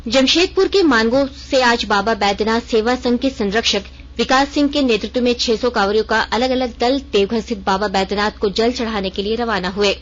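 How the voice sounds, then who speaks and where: A woman presenting a regional radio news bulletin, reading a script in a formal, measured newsreader manner. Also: fairly high pitch. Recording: dull, band-limited radio recording.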